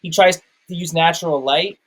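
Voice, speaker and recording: A man in a casual film discussion, thinking aloud in a halting way and repeating words as he goes. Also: a little high, fairly even intonation, a bit bright, rough recording. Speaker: film review show host.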